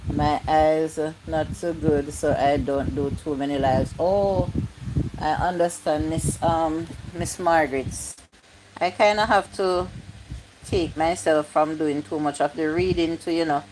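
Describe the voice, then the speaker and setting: A woman's voice: deep voice, steady tone, unhurried, noisy background. A woman, chatting casually on a livestream, reading comments aloud and repeating her points. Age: 50s. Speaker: YouTube live streamer.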